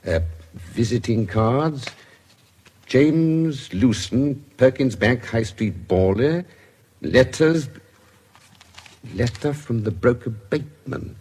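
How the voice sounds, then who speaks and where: Husky, methodical older British detective doctor. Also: male voice, mid-range voice, expressive, darkish tone. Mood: brisk, methodical. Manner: measured, precise, deliberating aloud. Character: keen, methodical older gentleman detective doctor, coolly curious. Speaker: forensic investigator. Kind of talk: radio drama acting.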